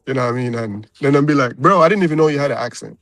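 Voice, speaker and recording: A man's voice: mid-range voice, rapid-fire, no echo, studio quality, soft background music. A young adult man from Jamaica with a Jamaican accent, talking casually as an interview guest and often checking in with "you understand?". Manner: laid-back, peppers talk with "you understand?". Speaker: recording artist guest.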